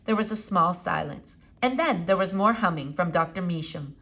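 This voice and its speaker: A woman narrating a children's book aloud in an expressive storytelling style. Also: on the low side, normal speed. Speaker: audiobook reader.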